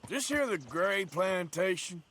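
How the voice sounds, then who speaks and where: A man's voice: high-pitched, very animated, a little slow, a bit bright, slight background noise, heavily acted. An actor playing a laconic American outlaw posing as a lawman in a video game, dry and understated in manner. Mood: businesslike. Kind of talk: video game drama acting.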